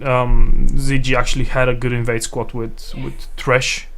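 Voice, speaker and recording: A man's voice: mid-range voice, level tone, unhurried, vocal fry, fairly bright tone, slight background noise. A man giving casual analysis as an esports commentator, often stammering and repeating words as he reasons aloud. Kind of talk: casual live game commentary.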